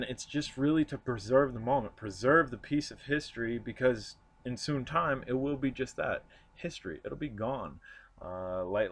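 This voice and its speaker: A man narrating his vlog outdoors, earnest and reflective, often pausing and restarting. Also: mid-range voice, noisy background. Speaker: urban explorer vlogger. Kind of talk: casual walk-and-talk narration. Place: outdoors at a snowy demolition site.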